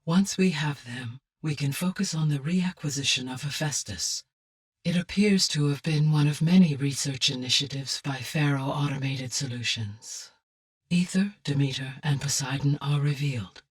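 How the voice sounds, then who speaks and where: A calm, caring female artificial intelligence from a video game. Her low voice is husky and sounds slightly distant. She speaks in formal, precise sentences with measured composure. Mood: composed, measured. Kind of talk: measured, scripted game dialogue.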